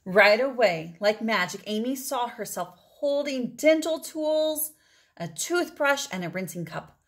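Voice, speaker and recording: A middle-aged woman reading a children's picture book aloud expressively, with deliberate phrasing and emphatic pauses. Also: on the high side, lively intonation. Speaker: read-aloud storyteller.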